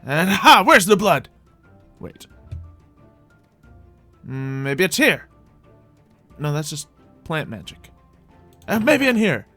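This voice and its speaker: Guy doing playful gameplay roleplay commentary, kinda slow and bright, music behind him. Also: fairly high pitch, very animated. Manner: theatrical, playful, talking to himself and viewers. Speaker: host of a gaming roleplay video.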